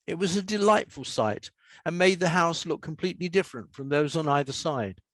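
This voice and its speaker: An older man with an English accent, talking reflectively and reading aloud as an author in a measured way. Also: fairly high pitch, animated, a bit bright, no room sound. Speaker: author and radio scriptwriter. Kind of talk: relaxed talk and reading aloud from own story.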